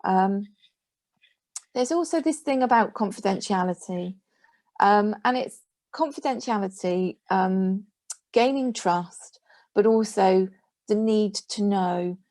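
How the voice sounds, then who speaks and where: A woman's voice: mid-range voice, slow, long pauses, darkish tone, no room sound. A woman giving an informal, plain-spoken advisory talk in a webinar, reassuring and speaking directly to her listeners. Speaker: guest speaker, adult safeguarding professional.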